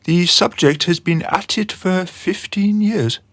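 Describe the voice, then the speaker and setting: A man acts a deferential young underling in a satirical radio drama. His voice sits high and is recorded dry. He reports formally and earnestly, eager to obey. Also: slow pace, a bit bright, no room sound, heavily acted.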